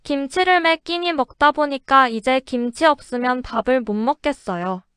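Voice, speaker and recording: High, crystal-clear woman reading sentences. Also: dry recording, clean studio sound. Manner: careful, even, deliberate delivery. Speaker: example sentence reader. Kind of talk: slow, clear model-sentence reading for shadowing.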